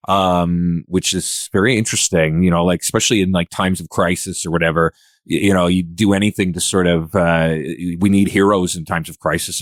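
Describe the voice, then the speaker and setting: Deep-voiced man chatting, close and dry. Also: dry recording. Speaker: podcast host. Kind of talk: casual discussion.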